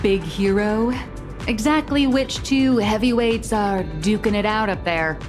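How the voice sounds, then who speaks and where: A woman voicing a cool, shrewd young woman in a video game, with a deliberate, dryly sardonic delivery. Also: mid-range voice, over music, natural voice. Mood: teasing.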